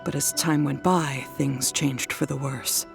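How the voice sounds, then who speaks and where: A woman's voice: very deep voice, steady tone, a little slow, gravelly, over music, full character voice. A woman playing a worried, weary wife and mother in a video game, speaking earnestly and sorrowfully. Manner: earnest, sighing, wistful storytelling. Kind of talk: video game dialogue acting.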